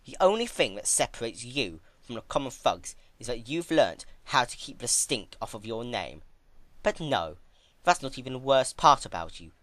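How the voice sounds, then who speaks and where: A performer voicing a cruel, cold female pony tormentor with menacing, drawn-out emphasis in a fan fiction reading. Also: mid-range voice, big pitch swings, fairly bright tone, no echo, voice pushed up. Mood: scornful, accusing.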